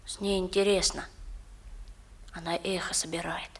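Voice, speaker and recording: A woman's voice: on the low side, flat delivery, normal speed, natural voice. A woman playing an eager, timid young boy, earnest and pleading, in a 1960s radio drama. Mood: eager, anxious.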